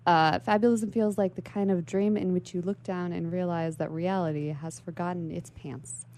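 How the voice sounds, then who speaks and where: A woman's voice: fairly low pitch, fairly even intonation, moderate pace, a little mellow. A young woman as an interview guest, giving articulate, bookish explanations in long, carefully formed sentences. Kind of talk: prepared explanation in a radio interview. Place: radio station studio.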